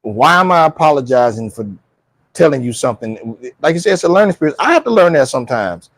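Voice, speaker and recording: Male co-host, husky and highly animated, slightly high pitch, informal and emphatic, casual panel banter. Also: fast talker, no room sound. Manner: emphatic, informal, rhetorical questions. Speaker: talk show co-host.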